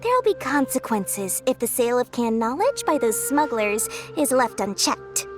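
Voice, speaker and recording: A woman's voice: a little high, sing-song, moderate pace, over music. A woman playing a shrewd, money-loving little female merchant in a video game, with theatrical, wheedling sales patter. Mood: sly, explanatory. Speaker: merchant selling goods and information. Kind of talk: video game character acting.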